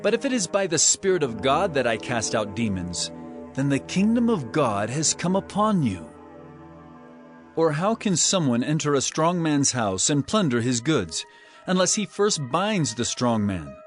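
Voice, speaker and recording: A man's voice: on the high side, big pitch swings, a little slow, soft background music. An actor playing a stern, earnest male teacher with authority, declaiming emphatically in a dramatized scripture production. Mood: firm, reasoning.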